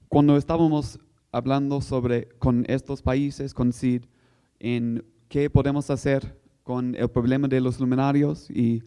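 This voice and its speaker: Mellow American man presenting, halting non-native speech. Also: mid-range voice, smooth. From United States. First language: English. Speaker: guest speaker at a technical workshop. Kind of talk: instructional presentation.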